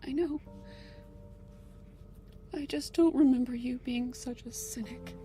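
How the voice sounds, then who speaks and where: A middle-aged actress playing a soft-spoken, flustered mother worried for her daughter in an audio drama. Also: high voice, steady tone, a little slow, faint music behind. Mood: anxious.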